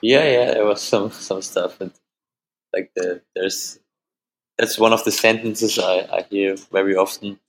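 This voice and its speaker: A man from Austria whose first language is German, speaking English with an Austrian German accent as an interview guest, halting and repeating words, often laughing. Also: on the low side, fairly even intonation, low-quality recording. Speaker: musician guest. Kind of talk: casual interview answers.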